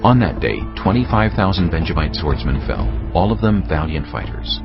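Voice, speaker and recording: A man giving a solemn, measured, dramatic narration of scripture. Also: low-pitched, sing-song, creaky. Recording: dull lo-fi sound. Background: music.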